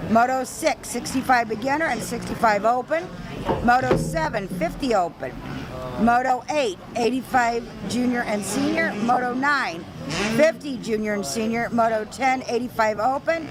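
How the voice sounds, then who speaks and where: A woman's voice: fairly high pitch, normal speed. A woman commentating live at an outdoor motocross track, chatty and upbeat as she reads out the race order. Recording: loud outdoor noise.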